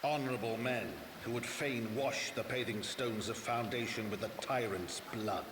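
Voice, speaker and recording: An actor playing a grave, ominous storyteller, delivering measured, theatrical narration for a fantasy game cutscene. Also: male voice, mid-range voice, natural voice. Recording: echoey, distant game audio with rain noise.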